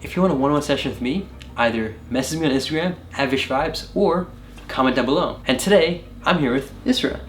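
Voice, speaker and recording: A young man, a meditation coach, hosting a reaction video, energetic in the intro and calm when guiding breathing. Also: medium pitch, brisk.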